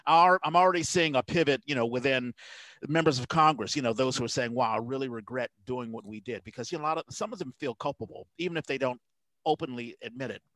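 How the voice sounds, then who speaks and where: A man's voice: medium pitch, quick pace, a bit bright, no echo, poor audio. A man hosting a talk podcast, speaking earnestly in casual conversation and often repeating words as he starts. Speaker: podcast host.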